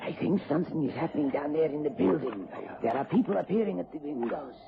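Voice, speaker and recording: Noisy old recording, gravelly ruthless assassin. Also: male voice, on the high side, sing-song, quick pace, phone-quality sound, heavily acted. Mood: tense, watchful. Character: cold, fanatical male assassin, ruthless, fatalistic. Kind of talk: vintage radio drama acting.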